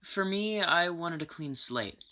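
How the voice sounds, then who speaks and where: A woman voicing a timid young man who stammers and speaks quietly, in an amateur audio drama. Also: lowish voice, animated, a little slow. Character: shy sickly young man passenger.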